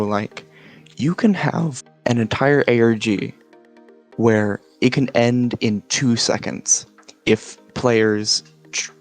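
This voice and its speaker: A man talking as a guest in a casual explanatory chat, halting as he searches for his words. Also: on the low side, gravelly. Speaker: guest, series creator.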